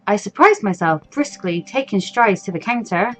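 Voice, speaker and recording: A woman hosts a let's play, reading game text aloud. Her pitch is middling and moves around a lot. She slips between expressive narration and casual asides, with faint music behind her. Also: moderate pace.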